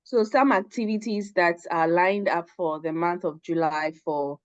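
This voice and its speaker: A woman from Ghana hosting an online professional seminar, making announcements in a measured way over a call. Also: lowish voice, steady tone, dry recording. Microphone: online call audio. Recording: dull, dry call audio.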